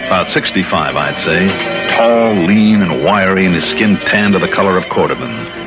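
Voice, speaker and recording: An actor playing a wry American insurance investigator in a vintage radio detective drama. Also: male voice, low-pitched, expressive, normal speed, over loud music. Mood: dry narration. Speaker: radio drama lead and narrator. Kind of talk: 1950s-60s American radio detective acting. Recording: dull vintage radio recording.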